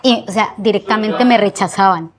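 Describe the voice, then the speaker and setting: A young woman from Colombia with a Colombian accent softened by years in Spain, recalling her life earnestly in an interview and slowing down to stress words. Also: mid-range voice. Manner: reflective, earnest, often slows down to stress words. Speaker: guest. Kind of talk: personal interview.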